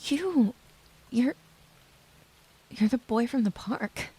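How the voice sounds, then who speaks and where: A woman playing a lonely, shaken young woman in hospital, narrating her own story in a soft, breathy, hushed and dramatic way. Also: on the high side, expressive, takes it slowly, exaggerated voice. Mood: hesitant, confused. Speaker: narrator and lead character. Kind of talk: first-person audio drama narration.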